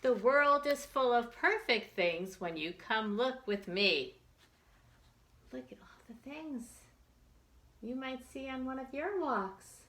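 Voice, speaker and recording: A woman leads a children's storytime with a bright, slightly high voice. She takes her time over short, simple phrases. She speaks straight to young listeners, adding small questions and asides as she reads. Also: lively intonation, slow. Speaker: children's librarian storytime host.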